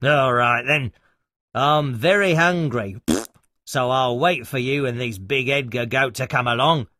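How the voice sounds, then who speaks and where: A man's voice: mid-range voice, measured pace, sharp tone, no echo, studio quality. A man growling as a grumpy hungry troll. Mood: grudging. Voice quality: growling, grumbling. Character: grumpy hungry troll under a bridge, male.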